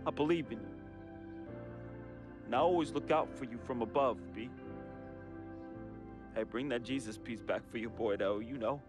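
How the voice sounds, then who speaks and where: A man's voice: medium pitch, normal speed, long pauses, music in the background, lightly acted. An actor playing a troubled young man in a game, reading a confessional farewell letter as a heartfelt voice-over with casual slang. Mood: somber. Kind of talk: emotional voice-over monologue. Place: studio voice-over.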